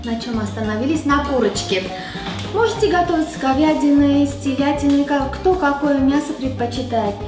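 A woman's voice: sits high, moderate pace. A woman, chatting casually as she cooks in a large home kitchen and sometimes searching for words. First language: Armenian. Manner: chatty, searches for Russian words, slips into English. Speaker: cooking show host. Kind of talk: casual cooking demonstration. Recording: echoey kitchen with background music.

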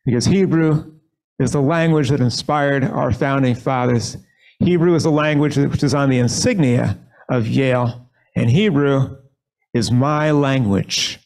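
Gravelly-voiced rabbi speaking from a lectern. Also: male voice, medium pitch, a little mellow, rough recording. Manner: measured, earnest storytelling. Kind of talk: leading a synagogue service and giving a short sermon. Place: synagogue sanctuary.

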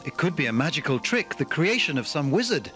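A man's voice: a little high, sing-song, fairly quick, sharp tone, faint music behind. A man voicing a loyal, cautious younger brother, earnest and warning.

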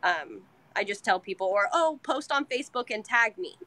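A woman's voice: medium pitch, big pitch swings, normal speed, bright, forceful tone. Chatty young woman on a video call. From California. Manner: chatty, quotes herself and clients. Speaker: salon owner guest. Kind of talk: casual interview chat. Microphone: webcam on video call.

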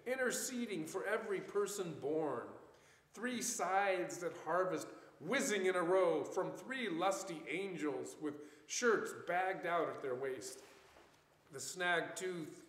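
Older guy reciting poetry real slow, highish voice, in a reverby hall. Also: a bit bright. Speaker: poet reading at a poetry event. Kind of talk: poetry reading aloud. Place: church room indoors, group reading. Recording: echoey hall with long reverb.

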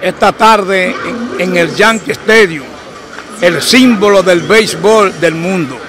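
A man's voice: sits high, slow pace, crowd noise. An older man reporting on location from a baseball stadium, talking formally into a handheld mic, often stopping to restart phrases. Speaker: field reporter. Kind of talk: on-site sports reporting.

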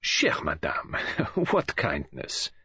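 A man voices a fastidious old detective who talks with formal, precise care. His speech is slow and mournful, scattered with French phrases. The voice is husky, and the recording sounds a bit dull. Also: extremely low pitch, huge pitch swings, bright, forceful tone, dry recording, pitched below the performer's own voice, heavily acted. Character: fastidious elderly male foreign detective.

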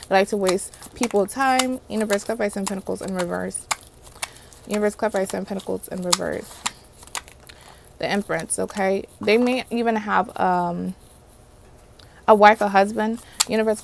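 A woman with a Haitian accent reads tarot in a casual, chatty way, checking in with her viewers again and again. Her voice has a gravelly edge at a middle pitch. Faint hiss sits under the recording. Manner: chatty, repeats "okay?" and "universe, clarify" while pulling cards. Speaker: tarot reader. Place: indoors at a table covered in card decks.

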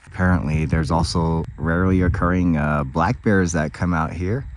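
A man's voice: low-pitched, moderate pace. A man narrating a casual camping vlog from his truck at a windy desert campsite, laid-back and laughing, with fillers. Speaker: vlogger. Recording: distant camera mic with loud wind noise. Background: strong wind outdoors.

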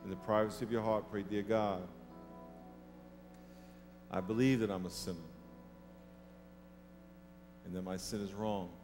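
A man in his thirties preaching a sermon on a large church stage into a headset mic, lively and acting out people's grudges. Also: lowish voice. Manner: animated, acts out petty grudges in a mocking voice. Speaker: pastor.

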